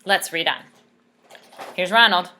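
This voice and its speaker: A woman reading a story aloud to children in a teacherly, expressive way, pausing to ask questions. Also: on the low side. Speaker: speech therapist reading aloud.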